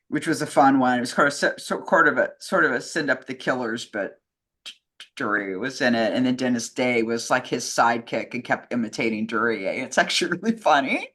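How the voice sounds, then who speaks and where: A woman's voice: a bass voice, fairly bright tone. A woman in casual film and radio chat, informal and opinionated, rambling with lots of "like". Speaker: co-host answering fan questions.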